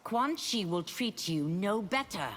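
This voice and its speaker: An actress playing a solemn, righteous warrior in a fighting game, speaking in measured moral reproach. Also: female voice, medium pitch, animated, a bit bright, soft background music. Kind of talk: dramatic fighting-game acting. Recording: long reverb, faint noise.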